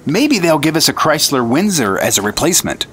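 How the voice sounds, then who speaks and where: A man playing an excitable, wisecracking 1950s FBI agent sidekick in an old-time radio drama. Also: on the high side, a little fast, over music. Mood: excitable, wry.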